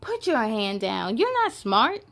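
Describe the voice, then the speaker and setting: A woman's voice: a little high, big pitch swings, unhurried, creaky, fairly bright tone, full character voice. A woman voicing a bullying fear monster from a children's storybook, mocking and menacing in manner. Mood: scornful.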